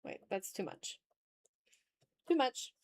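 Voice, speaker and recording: A woman's voice: slow pace, no room sound. A woman chatting casually on an art livestream while drawing, talking to her viewers in an informal, joking, mildly sweary way. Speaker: livestream host, illustrator.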